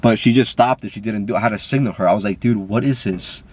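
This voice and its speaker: A man's voice: fairly low pitch, quick pace, darkish tone, husky. A man with a stuffy nose, talking in a casual, rambling way with lots of fillers and swearing, filmed on a camera while driving. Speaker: vlogger. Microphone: camera mic.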